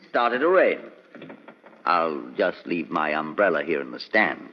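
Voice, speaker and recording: An actor playing an affable, solicitous family doctor in an old-time radio mystery. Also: male voice, sits low, big pitch swings, slow, heavily acted. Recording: dull old radio recording, long reverb.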